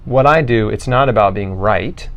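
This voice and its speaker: A man's voice: fairly low pitch, normal speed, dull audio. A man hosting an interview, talking in a conversational way to his guest and audience. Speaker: interviewer and show host.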